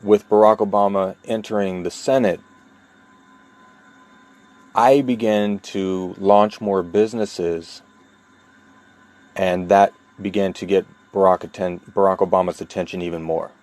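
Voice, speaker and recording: A man from New York speaking a personal monologue, deliberate and emphatic, with long pauses and repeated key points. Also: low-pitched, fairly even intonation, a little mellow. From New York, USA. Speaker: vlogger telling his own story.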